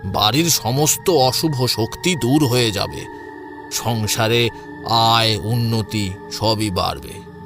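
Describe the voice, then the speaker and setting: A man's voice: medium pitch, slow pace, music in the background. A man playing a confident, teasing middle-aged priest in an audio drama. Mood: assured, solemn advice.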